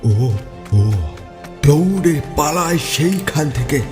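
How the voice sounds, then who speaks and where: A man narrating a scripted horror story in a suspenseful way, deliberate and with dramatic pauses. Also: fairly high pitch, animated, over music.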